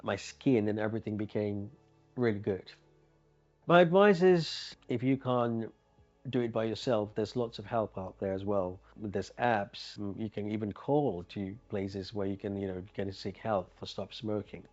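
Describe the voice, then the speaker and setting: A man's voice: on the low side, a little slow, a little mellow. A man telling his own story as a former smoker in a plain, earnest, conversational way. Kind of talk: personal testimonial. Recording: muffled with faint background music. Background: faint music.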